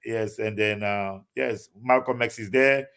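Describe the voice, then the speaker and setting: Halting man with many fillers. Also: on the low side, steady tone, a bit bright, clear, resonant tone. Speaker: guest. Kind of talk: casual interview talk.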